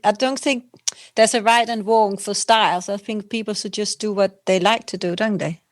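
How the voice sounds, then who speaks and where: A woman's voice: medium pitch, steady tone, normal speed, no room sound. Guest spot: young woman from Denmark, loose rambling talk, dry close mic. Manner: rambling, loose, ends points with tag questions. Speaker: potter guest. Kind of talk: casual interview chat.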